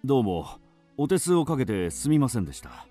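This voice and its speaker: An actor playing a courteous, guarded police detective in a radio drama, speaking formally and politely. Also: male voice, mid-range voice, wildly swooping pitch, fairly quick, warm tone, faint music behind.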